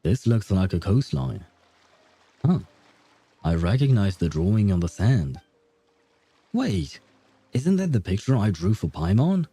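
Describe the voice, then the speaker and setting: A man's voice: fairly low pitch, big pitch swings, unhurried, long pauses, dark tone, slightly rough. A man chatting casually and a bit hesitantly with viewers as he hosts a gaming livestream, often rambling. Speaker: livestream host. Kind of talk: casual chat while streaming a game.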